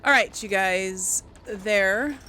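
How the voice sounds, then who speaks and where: A woman's voice: mid-range voice, very slow, bright, forceful tone, slight background noise. A woman hosting a video game playthrough, commenting casually and thinking aloud as she goes. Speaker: gaming video host.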